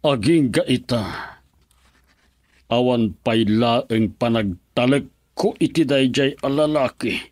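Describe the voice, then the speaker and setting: A man's voice: mid-range voice, slow, darkish tone, no room sound, studio quality. An actor playing a stern, scheming father in an Ilocano radio drama, speaking with a Filipino Ilocano accent. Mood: bitter, resolute. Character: scheming, stern father plotting against son-in-law.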